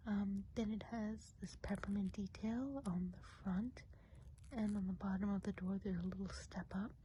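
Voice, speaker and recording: A woman playing a kindly, whimsical woodland witch in an ASMR role play, whispering softly, breathily and gently. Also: mid-range voice, natural voice, poor audio. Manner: slow, gentle, unhurried explaining. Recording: faint background noise.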